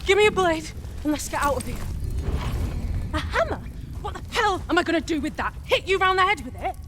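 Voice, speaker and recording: A woman playing a bossy, sharp-tongued captive child in a video game, insolent and exclamatory. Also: very high-pitched, expressive, fairly quick, heavy background noise. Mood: bossy, impatient.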